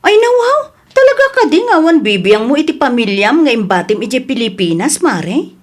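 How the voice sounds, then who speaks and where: A woman acting a concerned, exasperated family elder and friend in an audio drama, with an Ilocano accent and an expressive delivery. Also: sits high, normal speed. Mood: exasperated, scolding.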